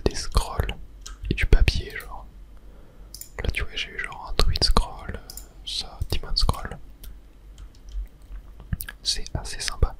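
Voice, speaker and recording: A person whispering casual, hesitant ASMR commentary while playing a video game, in a soft unvoiced whisper. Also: extremely high pitch. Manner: casual, hesitant thinking aloud. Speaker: video game streamer narrating own play.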